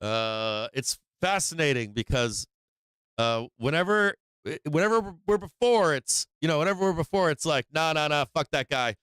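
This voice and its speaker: A man's voice: a little high, animated, moderate pace, a bit bright, smooth, dry recording. A man hosting a live stock market stream, casual and slangy, rambling with many fillers and restarts, saying he is losing his voice.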